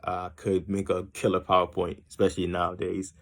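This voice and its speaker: A man's voice: low-pitched, monotone, brisk, a bit bright. A young man from Haiti giving a casual self-introduction to a class, rambling off on tangents with many ums and uhs. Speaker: student introducing himself to a class.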